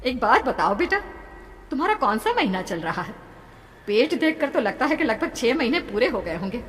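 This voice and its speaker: A woman's voice: a little high, very animated, normal speed, voice pushed up. Woman acting as anxious, kindly aunt, heavy reverb. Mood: concerned, caring. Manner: concerned, questioning, emphatic. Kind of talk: dramatized horror storytelling. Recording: long reverb, background noise.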